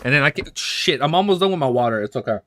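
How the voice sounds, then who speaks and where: A man's voice: mid-range voice, expressive, quick pace, a bit bright, no echo, clean studio sound. A young man in his twenties hosting a livestream, chatting casually and reading a novel aloud, rambling and swearing with easy laughs. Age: 20s. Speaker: livestream host.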